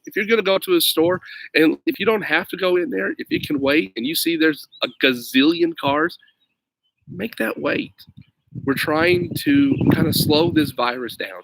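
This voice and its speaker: A man who teaches school, talking casually to young viewers outdoors with expressive, emphatic phrasing and simple, encouraging address. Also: a little high, creaky, low-quality recording. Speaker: schoolteacher reading to children. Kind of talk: storybook read-aloud and casual chat to young viewers. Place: outdoors on a lawn.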